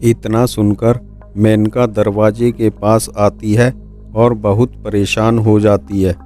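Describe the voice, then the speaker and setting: A man's voice: lowish voice, level tone, slow pace, warm tone. A man reading scripted narration of a horror folk tale for a cartoon. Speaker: storyteller. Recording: music behind the voice.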